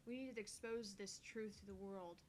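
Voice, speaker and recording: A woman's voice: medium pitch, fairly even intonation, moderate pace, darkish tone, slight background noise, natural voice. A woman playing a stubborn, proud young female researcher in a visual novel, giving firm, matter-of-fact retorts. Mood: defiant, firm.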